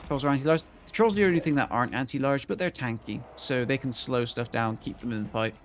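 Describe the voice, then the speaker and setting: Croaky-voiced man streaming a strategy game. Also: medium pitch, fast talker. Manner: thinking aloud while planning moves. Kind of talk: casual gameplay commentary to chat.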